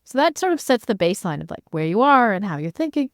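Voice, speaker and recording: Podcast interviewer, woman, mid-range pitch, conversational delivery, very dry recording. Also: big pitch swings, fast talker, dry recording.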